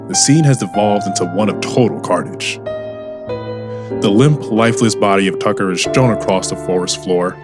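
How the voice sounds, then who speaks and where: A man narrating an audio drama in a scripted, dramatic storytelling style. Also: fairly low pitch. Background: music.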